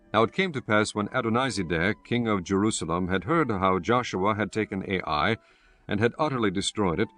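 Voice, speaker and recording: A man narrating a scripture audiobook in a solemn, measured and formal way. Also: fairly low pitch, fairly bright tone.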